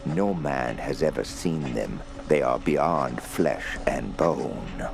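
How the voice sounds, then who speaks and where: An actor playing an old, menacing cult mystic in a radio horror drama, speaking broken English in halting, incantatory phrases with a gravelly voice. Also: male voice, very deep voice, lively intonation, over music, exaggerated voice. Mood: ominous, reverent. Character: old, fanatical foreign male cult prisoner, menacing mystic.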